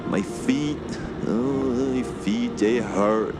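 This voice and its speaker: Radio drama role: a ruined wilderness survivor, weak and groaning, halting words, extremely slow, over prominent music. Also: male voice, on the low side, rough recording. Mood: piteous. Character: shattered frostbitten woodsman, childlike, piteous, bereft of mind. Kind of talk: radio theater acting.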